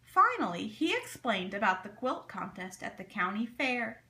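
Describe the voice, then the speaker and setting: A woman reading a children's story aloud in an expressive, deliberate, dramatic way. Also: fairly high pitch. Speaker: storybook reader.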